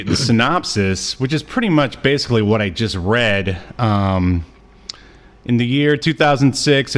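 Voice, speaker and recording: A man co-hosting a podcast, chatting casually about media with wry, joking asides. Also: mid-range voice. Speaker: podcast co-host. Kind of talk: casual media review chat.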